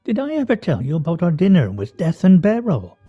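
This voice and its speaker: An actor playing a pompous, sardonic husband in a radio play, speaking in a wry, theatrical, mock-formal way. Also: male voice, sits high, wildly swooping pitch, brisk, mellow, breathy edge, soft background music, heavily acted. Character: pompous, sardonic husband at a weekly scrabble game.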